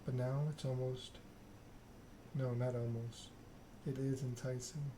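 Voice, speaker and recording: A man narrating game text aloud in an ASMR style, in deliberate short phrases with the odd misreading. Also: mid-range voice, flat delivery, background noise. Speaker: video game streamer reading a story game aloud.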